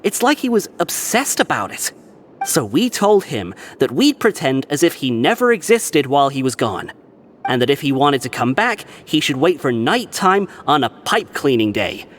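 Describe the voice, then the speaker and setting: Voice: medium pitch, big pitch swings, moderate pace. An actor playing a brusque, dismissive but loyal prison gang member in a video game. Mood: resigned, explanatory.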